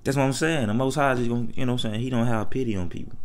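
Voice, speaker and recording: A man's voice: medium pitch, rapid-fire. A man with an American accent giving an unscripted, opinionated commentary in colloquial, repetitive speech with emphatic rhetorical questions. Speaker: online commentator.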